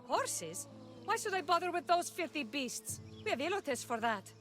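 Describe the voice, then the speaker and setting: Game role: high-voiced young Spartan woman, bold and questioning, faint music underneath. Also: big pitch swings, normal speed, fairly bright tone. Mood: defiant. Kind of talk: scripted video game cutscene acting.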